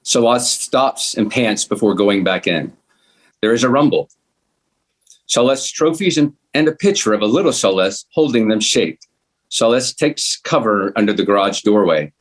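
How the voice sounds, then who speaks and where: A man reading a screenplay's scene headings and action lines as narrator at a table read, in a measured, plain way. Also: on the low side, expressive. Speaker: stage directions reader.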